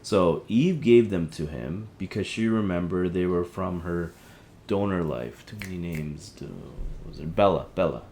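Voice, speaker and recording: Man acting rambling, deep-voiced conspiracy-minded husband. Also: darkish tone, a little hiss or hum. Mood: hesitant, wry. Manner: rambling, halting, searching for words. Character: agitated conspiracy-minded husband piecing together a dystopian plot. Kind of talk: audio drama, conversational dialogue.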